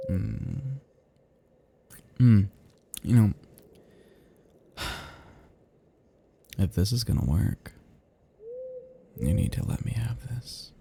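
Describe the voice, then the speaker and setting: A man's voice: lowish voice, warm tone, a little hiss or hum, exaggerated voice. A man playing a melancholy, possessive vampire in an ASMR roleplay, murmuring intimately and often dropping into whispers. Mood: hungry, coaxing. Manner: slow, intimate, breathy murmuring that drops into whispers. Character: seductive, melancholy, possessive male vampire, self-loathing immortal predator.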